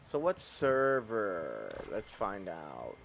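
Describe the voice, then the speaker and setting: A man's voice: low voice, huge pitch swings, extremely slow, vocal fry, noisy background. A man streaming a game, chatting casually to viewers in a laid-back, rambling way with casual swearing. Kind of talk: casual gameplay commentary.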